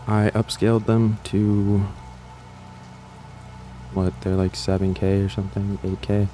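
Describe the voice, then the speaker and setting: Offhand, low-voiced man with a mellow tone. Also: level tone, measured pace, faint music behind. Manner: offhand, repeats himself and trails off. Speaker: creator and host of an audiobook channel. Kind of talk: casual rambling introduction to viewers.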